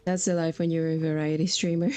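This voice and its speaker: Mellow-voiced woman chatting on a game stream. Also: deep voice, flat delivery, brisk, smooth. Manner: chatty, informal. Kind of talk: casual livestream chat while playing.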